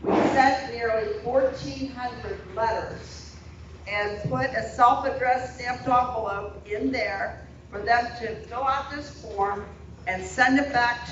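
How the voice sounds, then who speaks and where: Woman speaking plainly in a roomy hall. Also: on the high side. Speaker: local volunteer speaker. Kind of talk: informal talk to a meeting. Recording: distant, roomy, muffled and noisy hall recording.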